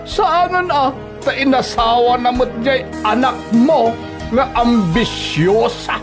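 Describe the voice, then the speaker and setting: An actor with an Ilocano accent playing a bullying, scornful middle-aged father-in-law in a radio drama, mocking and quick to anger. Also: very high voice. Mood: bitter, angry. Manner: mocking, haranguing. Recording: background music under radio drama.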